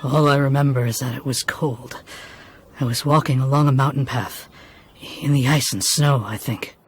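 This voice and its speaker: A man playing a sleepy, bewildered villager in a video game, with a husky, breathy voice. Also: medium pitch, steady tone, moderate pace, natural voice. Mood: hazy, unsure. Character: groggy, bewildered male villager just woken. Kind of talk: video game character acting. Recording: faint game music behind the voice.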